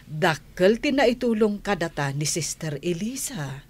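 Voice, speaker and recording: Gentle, slow-spoken, low-voiced mother. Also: sing-song, slightly rough, heavily acted. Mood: reassuring. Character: gentle, supportive mother of a grown daughter. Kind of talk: radio drama acting.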